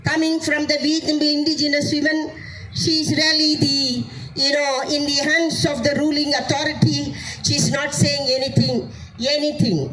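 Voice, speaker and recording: A woman, her voice worn hoarse, giving a fervent rally speech, shouting and asking rhetorical questions. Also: high-pitched, flat delivery, moderate pace, rough recording. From India. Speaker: activist speaking at a rally. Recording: speech over a cheering crowd.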